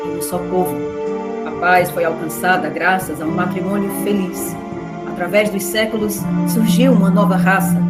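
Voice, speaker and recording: A woman reading scripted narration for a radio drama. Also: fairly low pitch.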